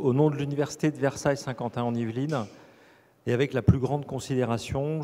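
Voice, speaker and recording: Solemn, mellow university president. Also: male voice, mid-range voice. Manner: solemn, measured, formal. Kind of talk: formal ceremonial address. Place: ceremony hall. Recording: long reverb in a big hall.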